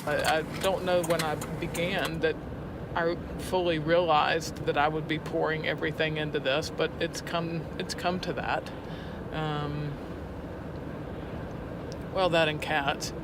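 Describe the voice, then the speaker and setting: A woman's voice: low-pitched, little pitch movement, unhurried. A woman talking casually and emphatically as a poetry teacher in an interview. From Alabama, United States. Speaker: poetry teacher and prison arts program head. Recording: location recording with clear vehicle and outdoor noise.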